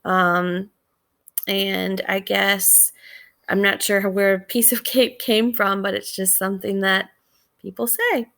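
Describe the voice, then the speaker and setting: A woman's voice: mid-range voice, flat delivery, slow, creaky, a bit bright. A woman teacher giving casual lesson commentary, conversational and thinking aloud with questions for listeners.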